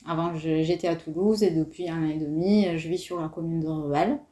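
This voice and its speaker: A woman in her thirties speaks French with an Italian accent, her voice pitched low for a woman. She talks as a guest, pausing often with fillers as she searches for words. The room around her rings with noticeable echo. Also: dark tone. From Italy. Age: 30s. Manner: hesitant, many fillers. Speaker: guest, doula. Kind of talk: casual interview talk.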